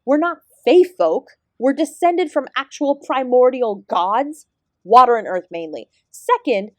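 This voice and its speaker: A woman's voice: high-pitched, dry recording. A woman playing an ancient celestial dance teacher in an audio roleplay, sarcastic and exasperated, speaking with sardonic, sweary emphasis. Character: ancient female apsara dance guru, sarcastic, exasperated, fiercely independent. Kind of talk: scripted audio roleplay addressed to the listener.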